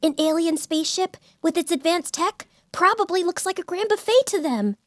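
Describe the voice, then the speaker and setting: An actress playing a cautious, clever young female student in an audiobook drama, expressive and wary. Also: very high-pitched, moderate pace, no echo, studio quality. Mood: explaining. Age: young adult.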